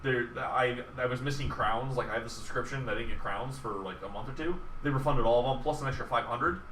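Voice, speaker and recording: A man's voice: mid-range voice, quick pace, a bit bright. A man chatting casually about video games as a podcast host, with an enthusiastic and casually profane manner. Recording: roomy, distant mic, faint noise.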